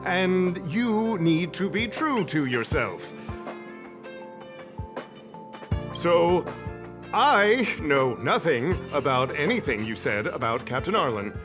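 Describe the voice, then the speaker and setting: A pompous male moralist from a video game, with a slightly high voice and very animated intonation. He speaks in a grandiose, theatrical way, posing as a pillar of virtue. Music plays clearly behind him. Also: takes it slowly. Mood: flustered, stammering. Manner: theatrical, self-important, grandiose. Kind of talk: dramatic video game voice acting.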